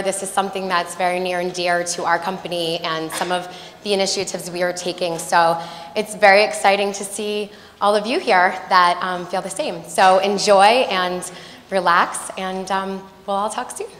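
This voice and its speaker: A woman's voice: mid-range voice, fairly even intonation, moderate pace, fairly bright tone. A woman representing the sponsor, giving a short welcome speech to the guests. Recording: echoey hall.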